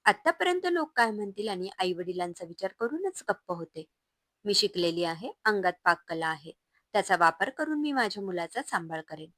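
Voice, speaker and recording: A woman's voice: medium pitch, big pitch swings, normal speed, fairly bright tone, no echo, studio quality. A woman voicing a hurt but resolute young wife who stands up to her husband in a firm, raised voice.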